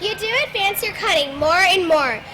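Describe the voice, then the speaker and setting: A woman's voice: extremely high pitch, moderate pace, background noise. A woman acting in a Shakespearean stage scene with a declamatory delivery. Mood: indignant.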